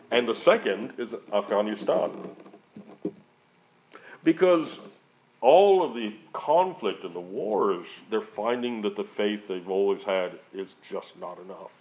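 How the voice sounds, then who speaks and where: A man's voice: on the low side, darkish tone. A man who works as a missionary answers audience questions in an earnest, conversational way, often restarting his sentences as he goes. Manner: rambling, frequent restarts. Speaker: missionary speaker. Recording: dull lo-fi audio.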